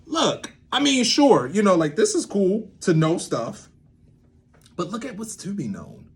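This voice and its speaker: A man giving an informal creative lesson to camera, thinking aloud in an animated way with swings in emphasis. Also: sits high, unhurried, husky. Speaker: creator and host teaching a creative method. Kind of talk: informal instructional monologue to camera.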